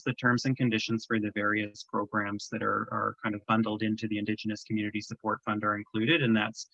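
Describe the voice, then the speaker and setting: A Canadian man presenting on a government webinar, walking through an application form in a measured, explanatory way with frequent ums. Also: fairly low pitch, creaky, bright, forceful tone, dry recording, lo-fi sound. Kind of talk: webinar walkthrough. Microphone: video call.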